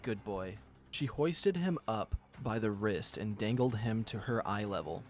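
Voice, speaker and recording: A man's voice: lowish voice, sing-song, unhurried, soft background music. A man reading a visual novel aloud as a channel host, in a storytelling style with casual commentary.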